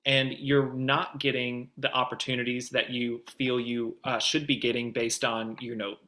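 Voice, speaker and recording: A man's voice: medium pitch, level tone, normal speed, sharp tone. A man giving conversational, explanatory advice as a guest producer in an online Q&A.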